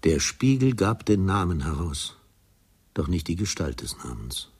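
A man narrating a literary radio drama in a husky, measured, grave manner. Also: very animated, a little mellow. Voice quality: deep, husky.

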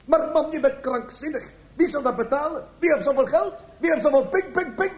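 Man doing a high-voiced, bumbling police inspector. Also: brisk. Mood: flustered. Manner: exclaiming, questioning. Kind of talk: comic radio play acting. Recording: echoey room, faint noise.

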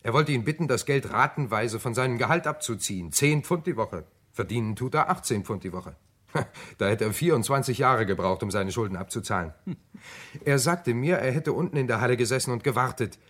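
An eager police sergeant in a radio crime play. His medium-pitched voice swings widely as he reports, chatty yet always deferential to his superior. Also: animated, fairly quick, fairly bright tone. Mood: animated, reporting.

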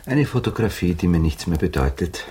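An actor playing a weary, guarded baron and former officer in a German radio drama, terse and measured in an aristocratic manner. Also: male voice, sits low, big pitch swings, mellow. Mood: terse, melancholy. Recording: clearly noisy 1980s radio drama recording.

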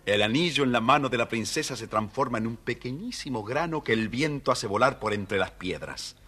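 A man narrating a children's story from a script in an expressive, dramatic storytelling manner. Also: mid-range voice, a little fast, breathy edge.

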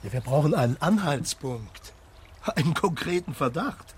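A man's voice: a little high, lively intonation, normal speed, a little hiss or hum, natural voice. An actor playing a sober, persistent policeman in a radio drama, asking in a terse, probing way.

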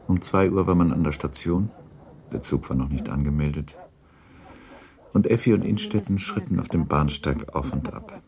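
A man's voice: extremely low pitch, moderate pace, vocal fry, a little mellow. A man reading scripted literary narration for a radio drama.

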